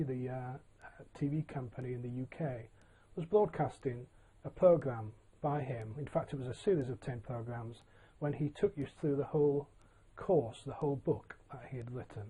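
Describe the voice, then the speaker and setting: An older man from Yorkshire telling his own career story in a relaxed, plain-spoken way, with frequent ums and uhs. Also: mid-range voice, rough recording. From Yorkshire, England. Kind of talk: casual autobiographical monologue.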